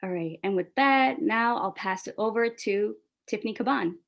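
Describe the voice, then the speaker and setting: A woman's voice: mid-range voice, moderate pace, gravelly. A woman from New York City, a Bangla speaker, hosting a press call as an organizer in an enthusiastic, casual, friendly way. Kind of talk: upbeat press call moderation.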